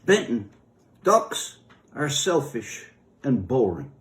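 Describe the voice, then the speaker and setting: A man's voice: mid-range voice, sing-song, takes it slowly, voice pushed down. A man reading a story as a blunt, self-absorbed God who lectures dismissively. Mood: bluntly dismissive. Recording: roomy echo.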